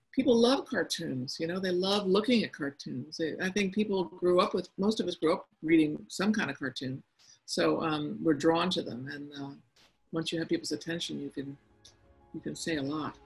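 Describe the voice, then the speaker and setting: Quiet, husky-voiced middle-aged woman. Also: deep voice, normal speed, faint music behind, poor audio. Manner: quiet, soft-spoken tone with frequent hesitations. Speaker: cartoonist speaking to young listeners. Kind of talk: casual reflective talk answering questions. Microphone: video conference call.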